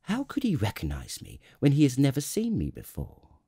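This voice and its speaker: Small boy prince, acted by an adult man: raised pitch, timid, courteous, questioning, very lively melody. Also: moderate pace, breathy edge, dry recording, clean studio sound, exaggerated voice. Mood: wondering, polite. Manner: timid, polite, abashed. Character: timid, curious little prince, young boy.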